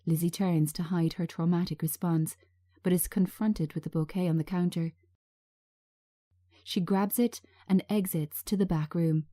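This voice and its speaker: A woman narrating a screenplay in a measured, scripted reading style. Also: lowish voice, steady tone, dark tone. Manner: measured, present-tense scene reading.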